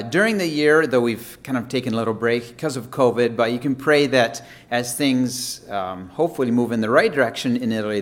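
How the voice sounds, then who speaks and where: A man who is a missionary speaks to a congregation in a relaxed, friendly way. He talks casually, with frequent fillers. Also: mid-range voice. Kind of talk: casual church update.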